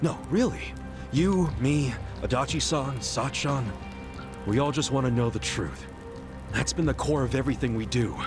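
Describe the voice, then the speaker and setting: An actor playing a big-hearted, stubbornly optimistic ex-convict hero in a video game, with brash, slangy, earnest delivery. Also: male voice, mid-range voice, close to an everyday voice. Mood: warm conviction. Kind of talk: dramatic video game voice acting.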